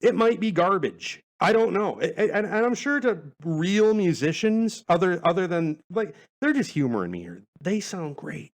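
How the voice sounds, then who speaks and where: A man's voice: sits high, big pitch swings, normal speed, hardly any pauses. A man in his forties hosting a casual podcast, rambling along in a laid-back, self-deprecating way. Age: 40s. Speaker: podcast host.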